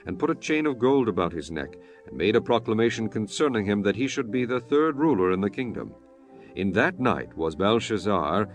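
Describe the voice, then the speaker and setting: A man giving solemn, formal scripted narration for a dramatized Bible. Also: on the low side.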